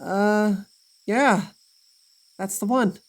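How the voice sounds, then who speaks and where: A woman as a slow, deadpan, grumpy traveler. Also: mid-range voice. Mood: wary. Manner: deadpan, curt, exasperated. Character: grumpy, guarded, sarcastic young traveler. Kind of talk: audio drama acting.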